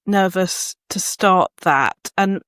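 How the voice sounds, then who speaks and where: A woman with a medium-pitched voice interviews in a conversational way. She is thoughtful and tentative, feeling her way through long questions, recorded with very little room sound. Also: takes it slowly, fairly bright tone, smooth, dry recording, clean studio sound. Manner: tentative, reflective, circling questions. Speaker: host, writing coach.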